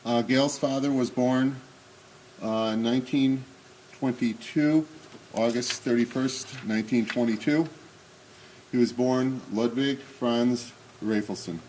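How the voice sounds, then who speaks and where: A man in his sixties with a booming voice, giving an unscripted talk at a remembrance service with many pauses and fillers, then reading memoir excerpts aloud. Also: steady tone, darkish tone, slight background noise, rough recording. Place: synagogue hall. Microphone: speaking without a microphone.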